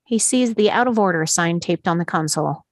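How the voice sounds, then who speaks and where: A woman's voice: fairly low pitch, expressive, brisk, no echo, clean studio sound. A woman reading stage directions as the narrator of a screenplay table read.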